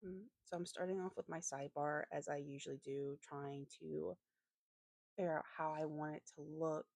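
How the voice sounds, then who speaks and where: A woman with a slightly raspy voice giving a casual craft voiceover, rambling hesitantly and often correcting herself as she goes. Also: low-pitched, fairly even intonation, moderate pace, a little mellow, dry recording, studio quality. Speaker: planner vlogger and host.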